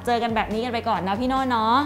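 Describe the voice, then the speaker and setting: A woman's voice: fairly high pitch, fairly even intonation, rapid-fire, fairly bright tone. A woman in her thirties hosting in a chatty variety show style. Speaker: host. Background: music.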